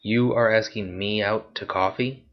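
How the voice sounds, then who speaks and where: Man doing a tired veteran soldier, low voice, clipped and restrained, muffled lo-fi sound. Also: steady tone, measured pace, close to an everyday voice. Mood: guarded. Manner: terse and restrained. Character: weary, guarded male war veteran. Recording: dull lo-fi recording.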